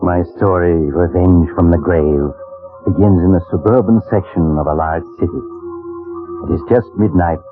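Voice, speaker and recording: A man playing a courteous, menacing storyteller in 1940s radio horror, theatrical and insinuating. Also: rumbling low. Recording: muffled old radio recording, loud organ music.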